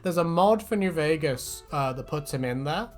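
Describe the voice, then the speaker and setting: A man's voice: on the high side, lively intonation, moderate pace. Man, casual comedic host, rambling, joking, swears, background music. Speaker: YouTube host giving reactions to fan predictions. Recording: music playing behind.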